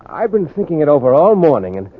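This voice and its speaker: A naive, earnest schoolteacher in a vintage radio comedy. The voice is slightly high and very animated, bashful and halting, on a thin old recording. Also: male voice, phone-quality sound, a little hiss or hum. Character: shy, earnest, naive male schoolteacher suitor. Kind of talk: 1940s-50s radio sitcom acting.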